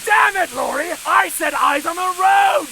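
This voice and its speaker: An actor in a comic audio drama playing a pompous, raging chief inspector who bellows petulant insults in a shrill, gravelly voice. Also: male voice, expressive, a little slow, exaggerated voice. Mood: exasperated rage. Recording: loud music and vehicle noise behind.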